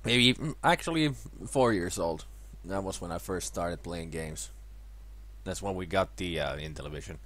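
A man's voice: low-pitched, moderate pace, a bit bright. A man in his thirties with a sore throat, chatting casually over an old ski game as a let's player, rambling and correcting himself with bursts of cheering. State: sore throat, voice lower than usual. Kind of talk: casual gameplay commentary.

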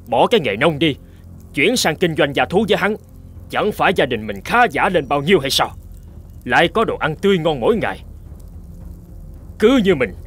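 A stubborn, greedy hunter, music behind. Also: male voice, fairly high pitch, a bit bright. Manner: brusque, boastful, dismissive. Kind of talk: animated folk tale dialogue.

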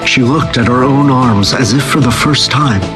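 A man's voice: mid-range voice, normal speed, natural voice, poor audio. A young man playing a brooding, defiant escaped slave in a video game, speaking with earnest intensity. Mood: grim, haunted. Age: young adult. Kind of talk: dramatic first-person game narration and dialogue. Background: music.

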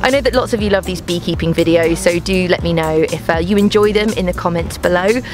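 A woman's voice: lowish voice, fast talker. A young woman hosting a casual outdoor vlog in a garden, explaining to viewers in a chatty, upbeat way. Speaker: YouTube host. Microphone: handheld camera close up through a bee veil. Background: background music.

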